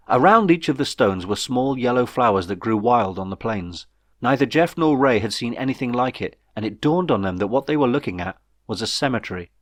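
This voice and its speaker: A man's voice: on the low side, no echo, studio quality. A man reading his own comic novel aloud as scripted audiobook narration.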